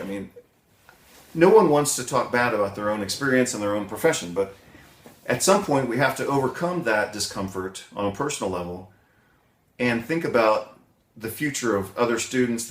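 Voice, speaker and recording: A man, a chiropractic doctor, talking casually and bluntly to his viewers, still a bit wheezy from bronchitis, rambling with many restarts. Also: mid-range voice. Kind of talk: casual talk to camera. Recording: roomy echo.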